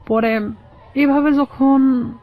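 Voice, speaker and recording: A Bangladeshi woman giving personal testimony as an interview guest, in a halting, plain-spoken way. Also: high voice, fairly even intonation. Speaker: guest telling her life story. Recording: dull, muffled lo-fi sound.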